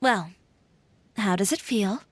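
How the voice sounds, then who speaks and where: An actress playing an assertive young fashion designer in a video game, speaking with frank, composed self-possession. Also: female voice, medium pitch, slow, breathy edge. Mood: relaxed, nostalgic. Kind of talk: scripted video game dialogue acting. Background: background music.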